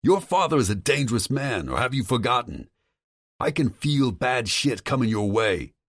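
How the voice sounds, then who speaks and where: Urgent brother figure, raised pitch, insistent, cursing, voiced by a male narrator. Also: animated, moderate pace, a bit bright, dry recording, studio quality. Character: agitated man warning his brother by phone.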